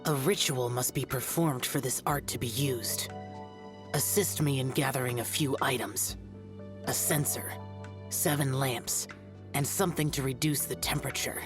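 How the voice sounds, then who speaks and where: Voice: medium pitch, moderate pace, lightly acted. A stern young immortal warrior from a video game, played by an actor, solemn and aloof. The speech is formal and terse, with music behind it. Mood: solemn, instructive. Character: stern, aloof, ageless young male demon-slaying guardian.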